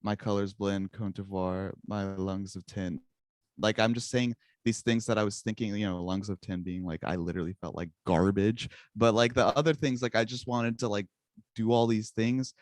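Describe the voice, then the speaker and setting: A man's voice: fairly low pitch, steady tone, moderate pace, darkish tone, dry recording. A young man whose first language is Czech, chatting casually as a guest musician, rambling and joking at his own expense. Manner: rambling, self-mocking, laughs often. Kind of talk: casual interview chat.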